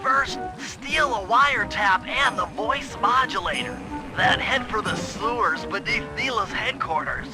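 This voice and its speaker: A man's voice: high-pitched, a little slow, rough recording. A man voicing a nerdy, fussy young mastermind in a cartoon video game, briefing precisely in a nasal voice. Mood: businesslike. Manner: precise, wordy mission briefing. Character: nerdy young brainy male gadget mastermind, fussy and eager. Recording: loud music behind the voice.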